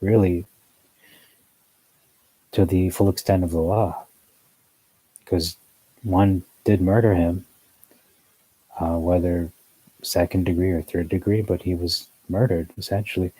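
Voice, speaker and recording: Low, deep-voiced man giving earnest commentary. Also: fairly even intonation, unhurried, long pauses, dark tone, husky, no room sound, poor audio. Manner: earnest, rambling, with frequent fillers. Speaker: newsletter commentator. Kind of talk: religious commentary monologue.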